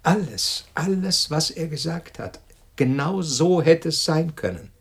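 An actor playing an agitated male scientist in a radio drama, halting and intense, repeating himself. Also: fairly high pitch, lively intonation, creaky. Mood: shaken, urgent. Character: Peter, agitated male scientist shaken by a death threat. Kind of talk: German radio drama acting.

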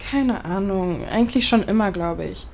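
A young woman playing a caring, worried flatmate in a naturalistic audio drama, earnest and a little hesitant. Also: mid-range voice, moderate pace, vocal fry, slight background noise, close to an everyday voice. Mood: gentle, hesitant. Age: young adult.

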